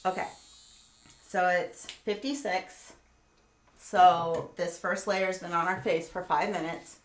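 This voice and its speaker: A woman in her forties talks through a home skincare treatment in an easy, chatty way, reading the chat aloud as she works. Her voice sits fairly low, and the room gives it a noticeable echo with a faint electric hum underneath. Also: fairly even intonation, slow. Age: 40s. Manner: chatty, explains steps while multitasking, reads chat aloud. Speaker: skincare livestream host. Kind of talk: casual live tutorial chat.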